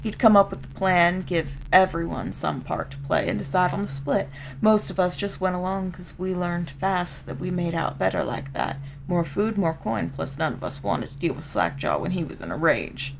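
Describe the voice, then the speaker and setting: A rough street-gang old hand , played by a woman, spins a tale in a folksy, colloquial way with a Southern American accent. The voice sits a little low and crackles with fry, over faint noise, in the style of a video game story read aloud. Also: lively intonation, normal speed. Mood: streetwise, matter-of-fact. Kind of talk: dramatic first-person story reading.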